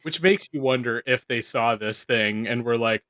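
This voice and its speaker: A man's voice: medium pitch, moderate pace, fairly bright tone, dry recording. A man chatting casually on a podcast panel, wry and slangy with some swearing. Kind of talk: casual chat.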